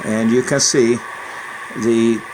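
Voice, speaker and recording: A man's voice: mid-range voice, level tone, slow pace. A man narrating a hands-on technical demonstration of radio equipment, as a hobbyist would. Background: CB radio receiver noise.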